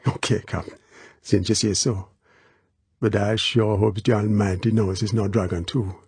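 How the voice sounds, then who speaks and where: A man's voice: lowish voice, fairly even intonation, moderate pace, darkish tone, husky, no room sound. A man voicing a big, superstitious, loyal islander in an audiobook, whispering urgently in non-standard grammar. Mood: uneasy, deferring.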